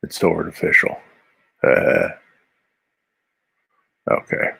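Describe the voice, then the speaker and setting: A man's voice: extremely low pitch, very animated, slow pace, vocal fry, sharp tone, breathy edge, dry recording. A man with a Midwestern accent, a comic artist chatting casually and muttering to himself as he draws on a live stream. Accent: Midwestern American. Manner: mutters to himself between strokes, rambling asides. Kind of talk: casual live-stream commentary while drawing.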